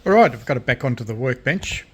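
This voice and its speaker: A man with a mid-pitched voice talks through a repair at his workbench. He is relaxed and unhurried, thinking aloud with plenty of ums and restarts. A faint hum of workshop ambience sits behind him. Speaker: radio restorer. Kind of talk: casual repair vlog narration. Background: rain storm outside.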